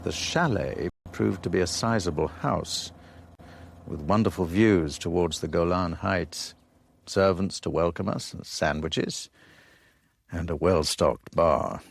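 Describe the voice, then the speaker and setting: Low-voiced, dry newspaperman. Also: slow, creaky, full character voice. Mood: dry narrating. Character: dry, sceptical male American foreign correspondent. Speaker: actor playing a journalist and narrator. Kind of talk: radio drama narration and dialogue.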